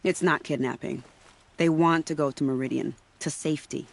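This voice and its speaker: A woman's voice: low voice, fairly even intonation, normal speed, gravelly. An actress playing a sly, self-assured female spy in a video game, with an arch, teasing manner. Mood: scheming. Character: sly, poised female spy, playful and patronizing. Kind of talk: video game dramatic acting. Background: faint music.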